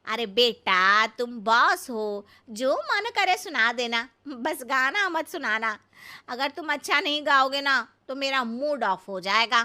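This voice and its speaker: Older rustic mother-in-law for comic cartoon: very sharp, slow-drawling, scolding, cluelessly confident. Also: high voice, lively intonation, full character voice. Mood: naive, confident. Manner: scolding, literal-minded, folksy, drawn-out delivery. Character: brash, naive village mother-in-law, older woman. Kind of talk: comic cartoon story acting.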